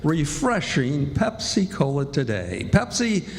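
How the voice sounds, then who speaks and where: A man's voice: fairly high pitch, wildly swooping pitch, slow, vocal fry. A man announcing in old-time radio style, with a polished, salesmanlike delivery. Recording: live hall, faint music behind.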